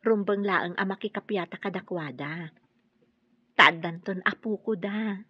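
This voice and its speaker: An actress playing a sweet, forgiving young wife in a radio drama, with an affectionate, gentle manner. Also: female voice, medium pitch, a little slow, breathy edge, no echo. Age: young adult. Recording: dull lo-fi radio recording.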